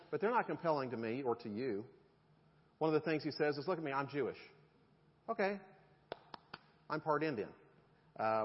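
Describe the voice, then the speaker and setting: A middle-aged man, preaching a conversational sermon with jokes to his congregation. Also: a little high. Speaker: pastor. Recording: dull sound in a reverberant hall.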